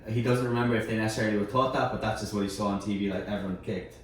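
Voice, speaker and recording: A man with a slightly low voice shares opinions in a casual group discussion. He repeats words for emphasis and lays out his points in order. The sound is very roomy and far from the mic, with faint noise. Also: fairly even intonation, rapid-fire. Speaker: sports media team member in panel discussion. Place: echoey room.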